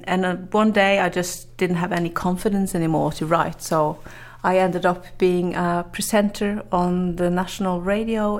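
A woman from Norway with a Norwegian accent, a journalist and author telling her story in an interview, chatty and quick to laugh. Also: lowish voice, gravelly. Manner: chatty, laughs often, many fillers and restarts.

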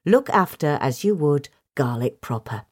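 A woman's voice: sits low, lively intonation, a little slow. A woman reading a gardening article aloud as scripted narration. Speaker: article reader.

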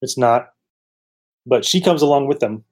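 A man's voice: mid-range voice, moderate pace. A man co-hosting a casual chat show, with a dry, joking way of talking. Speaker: podcast co-host. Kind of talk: casual chat reviewing old radio serials.

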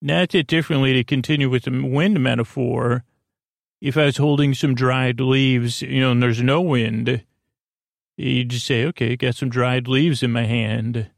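A man with a creaky, gravelly voice hosts a sleep podcast, gently and reassuringly rambling in a meandering, halting monologue that trails off mid-thought. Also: medium pitch, steady tone, dry recording, studio quality. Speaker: podcast host.

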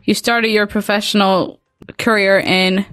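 A woman's voice: medium pitch, flat delivery, unhurried. A woman with a Swedish accent hosting a podcast and asking casual interview questions in a chatty way. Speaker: podcast host.

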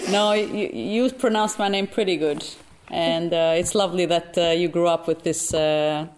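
A woman's voice: on the low side, steady tone, normal speed, background noise. A woman whose first language is Albanian, a theatre maker being interviewed, talking in a friendly way with frequent laughs and fillers. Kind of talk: casual interview chat.

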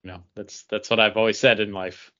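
A man's voice: on the low side, level tone, a little fast, bright, forceful tone, lo-fi sound. A man co-hosting a podcast, chatting casually about geek culture with a wry, play-along manner. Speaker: podcast co-host.

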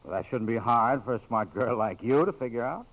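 An actor playing a cocky, wisecracking magazine photographer in a 1950s radio drama, breezy and sardonic. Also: male voice, mid-range voice, animated, a little fast, close to an everyday voice. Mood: sardonic, determined. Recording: dull vintage radio broadcast recording.

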